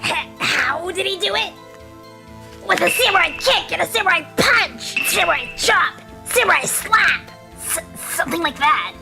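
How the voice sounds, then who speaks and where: Woman voicing a brash, high-pitched little boy. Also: animated, moderate pace, creaky, pushed and bright, soft background music, heavily acted. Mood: flustered. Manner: cheeky, slangy, stammers when cornered. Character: brash, excitable young boy witness, hero-worshipping kid. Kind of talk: fan dub courtroom game acting.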